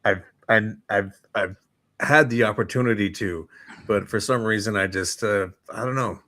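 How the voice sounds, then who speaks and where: An American man with a slightly low-pitched voice. He talks casually as a show co-host, in an easygoing and joking manner. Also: moderate pace, sharp tone. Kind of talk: casual chat.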